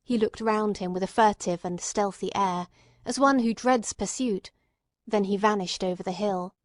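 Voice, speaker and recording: A woman reading scripted literary narration as an audiobook narrator, in a measured, carefully phrased storytelling manner. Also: mid-range voice, no room sound, clean studio sound.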